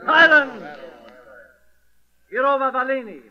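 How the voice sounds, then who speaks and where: An actor playing an imperious, vengeful governor, pompous and commanding in old radio drama style. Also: male voice, very high-pitched, slow pace. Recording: muffled lo-fi old radio recording.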